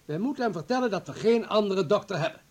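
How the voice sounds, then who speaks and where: A man's voice: high-pitched, expressive, fast, darkish tone. A man acting in a Dutch radio play as a pragmatic, calculating party comrade, urgent and persuasive. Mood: urgent persuasion.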